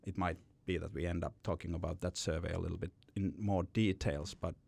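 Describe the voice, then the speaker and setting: A man's voice: deep voice, steady tone, fairly quick, gravelly, darkish tone, no room sound. A man, a company founder telling his story in an interview, often repeating words as he goes. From Finland. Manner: rambling with frequent repeated words. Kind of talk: podcast interview answer.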